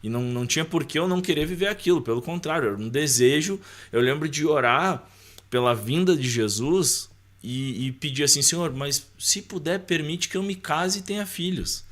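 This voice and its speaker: A man's voice: on the high side, normal speed, fairly bright tone. A man with a Brazilian accent speaking as a podcast guest, sharing personal faith and life in an informal, conversational way, often checking for agreement.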